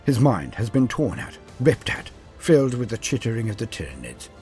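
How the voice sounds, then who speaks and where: A man voicing a proud, calculating veteran warrior lord in a sci-fi story, narrating his battle in solemn, theatrical and deliberate phrases. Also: mid-range voice, very animated, a little mellow, faint music behind. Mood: battle intensity. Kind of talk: dramatic first-person story narration.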